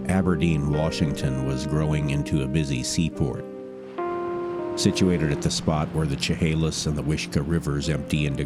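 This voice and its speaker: A man with an extremely deep voice narrates a true-crime podcast. His delivery is measured and dramatic, like a storyteller building suspense, with music clearly playing underneath. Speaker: narrator, voice actor. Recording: music bed behind narration.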